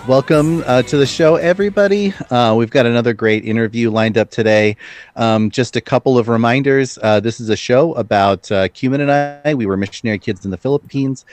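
A man's voice: mid-range voice, fairly quick, darkish tone. A man of about forty hosting a podcast, speaking casually with many ums and uhs. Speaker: podcast host.

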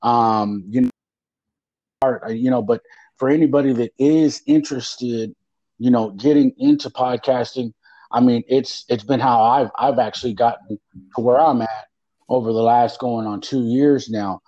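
A man's voice: medium pitch, fairly even intonation, normal speed, dark tone, dry recording. A man hosting a casual podcast, rambling with lots of fillers and restarts. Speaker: podcast host. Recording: muffled lo-fi audio.

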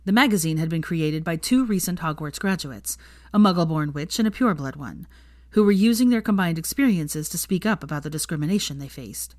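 A woman's voice: low-pitched, expressive, brisk, no room sound, clean studio sound. A woman reading fan fiction aloud as scripted story narration.

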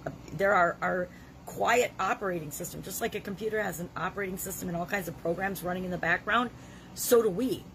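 A middle-aged woman with a gravelly voice from a cold, leading a self-help challenge in an earnest, conversational way. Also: lowish voice, a little fast, a bit bright, a little hiss or hum, low-quality recording. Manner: earnest, conversational, with frequent restarts. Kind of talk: motivational talk to camera.

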